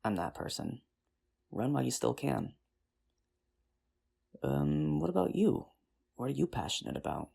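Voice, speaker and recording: A performer in an ASMR roleplay playing a nerdy, earnest high school teacher, rambling with hesitant, self-deprecating charm in an intimate, conversational way. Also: sing-song, unhurried, vocal fry, long pauses, a little mellow, breathy edge, full character voice. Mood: playful, friendly.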